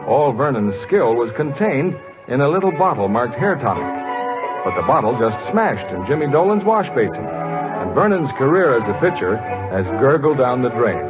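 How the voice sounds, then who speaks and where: An American man announces as an old-time radio host. His mid-range voice is very lively in pitch. The dull broadcast has faint music behind it. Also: normal speed. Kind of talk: 1940s scripted radio announcing.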